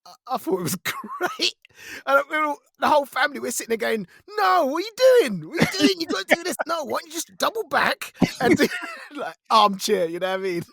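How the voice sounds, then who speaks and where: A man with a British accent chatting casually as a podcast co-host, excitable and colloquial. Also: extremely high pitch, brisk, creaky. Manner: chatty, excitable, colloquial, often breaking into laughter. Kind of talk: casual chat, reviewing television.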